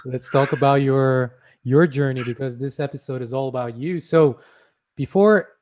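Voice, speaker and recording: A man's voice: medium pitch, moderate pace, darkish tone. A man hosting an interview show, speaking earnestly with fillers and self-corrections. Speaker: host. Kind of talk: casual interview chat.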